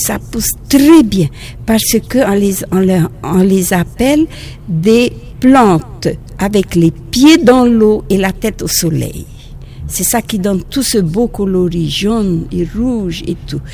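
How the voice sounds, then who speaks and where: Slow gravelly Polynesian woman. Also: mid-range voice, a little hiss or hum. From French Polynesia. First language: Tahitian. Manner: halting, restarts phrases, gentle. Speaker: nun and teacher. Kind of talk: outdoor interview recollection.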